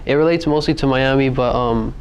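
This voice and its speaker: A teenage boy from the Dominican Republic answering interview questions haltingly, repeating words. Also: mid-range voice, slight background noise. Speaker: student interviewee.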